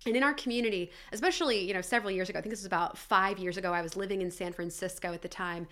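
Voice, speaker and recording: A woman's voice: medium pitch, rapid-fire, bright, forceful tone. American woman, mid-range voice, business interviewer talking candidly and reflectively in a loose, discursive way. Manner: candid, discursive, frequent "you know" and "like". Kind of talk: casual reflective conversation.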